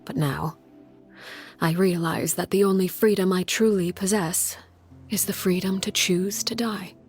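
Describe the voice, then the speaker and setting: A woman voicing a stern, solemn leader in a video game, speaking in a grave, measured, hushed way. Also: medium pitch, steady tone, slightly rough, soft background music. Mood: solemn, subdued. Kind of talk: dramatic video game acting.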